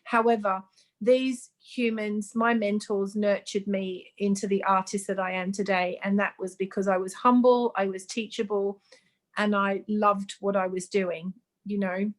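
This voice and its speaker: A woman's voice: mid-range voice, fairly even intonation, measured pace. A woman in her fifties, born in Sweden and raised in Australia. She talks as a guest in a casual interview, chatty and earnest, with lots of ums. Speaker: guest, makeup artist.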